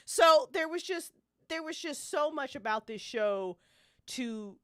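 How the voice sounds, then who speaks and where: A woman's voice: high voice, lively intonation, slow, bright, forceful tone, dry recording. Profane, emphatic woman podcaster, dry close sound. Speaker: podcast panelist. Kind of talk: casual opinionated fan chat.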